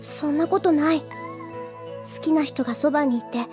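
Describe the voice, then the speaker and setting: A woman's voice: sits high, moderate pace, mellow, soft background music, close to an everyday voice. A woman playing a shy, earnest, easily flustered teenage schoolgirl in an anime audio drama. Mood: blissful. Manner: flustered, hesitant, girlish.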